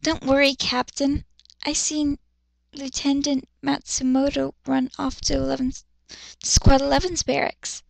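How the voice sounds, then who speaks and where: A woman's voice: sits high, flat delivery, unhurried, vocal fry, no room sound, heavily acted, rough recording. A woman voicing a shy, sweet young female soldier, timid and hesitant, in a dramatic fan fiction reading. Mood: reassuring. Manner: stammering, timid.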